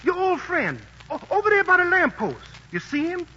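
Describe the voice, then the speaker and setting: A jittery, urgent sidekick. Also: male voice, very high-pitched, very animated, moderate pace, pushed and bright, a little hiss or hum, full character voice. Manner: urgent, colloquial, warning. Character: nervous, fast-talking male sidekick. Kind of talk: 1940s radio drama acting. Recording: muffled 1940s radio broadcast.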